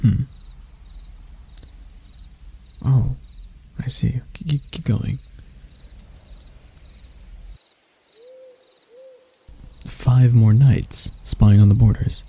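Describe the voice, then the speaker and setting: A man playing a proud elf prince in a roleplay ASMR, speaking in a measured, haughty and intimate way to the listener. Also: mid-range voice, gravelly, dark tone, husky, heavily acted. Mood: pondering. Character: proud elf prince, stern captor softening into bashfulness.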